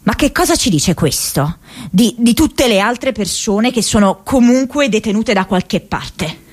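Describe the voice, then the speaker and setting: A woman's voice: fairly high pitch, lively intonation, measured pace. An actress playing an earnest, quirky young woman activist in a radio monologue, hesitant and rambling, often stammering and repeating herself. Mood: earnest, reflective.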